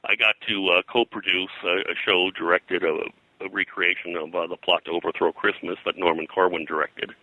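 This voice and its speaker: An older man, a pop culture historian being interviewed, reminiscing in a halting, digressive way full of detail. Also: deep voice, little pitch movement, bright, forceful tone, dry recording, poor audio. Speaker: pop culture historian and comics colorist, guest.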